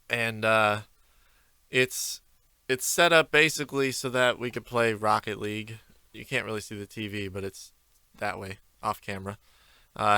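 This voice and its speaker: Young guy doing a solo podcast, rambly and loose, bright voice, dry close sound, faint music behind. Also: on the low side, clean tone, no echo. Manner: rambling, freestyle with many fillers. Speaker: podcast host. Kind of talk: casual solo podcast chat. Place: home basement with concrete walls. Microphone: desk microphone on camera.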